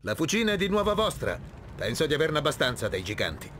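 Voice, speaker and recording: Un attore che interpreta un eroe greco risoluto e autorevole, dal tono serio e deciso, in un filmato di videogioco. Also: male voice, a little high, sing-song, fast, fairly bright tone, slight background noise. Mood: calm. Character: resolute, commanding male Greek hero. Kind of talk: video game cutscene acting. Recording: music under the voice.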